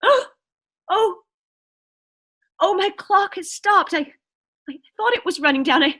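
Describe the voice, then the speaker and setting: Woman as nervy demanding invalid wife, high-pitched, tense tone, stammering, agitated, radio play. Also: expressive, unhurried, pushed and bright, full character voice. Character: anxious, demanding invalid wife, increasingly terrified. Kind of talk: radio thriller acting.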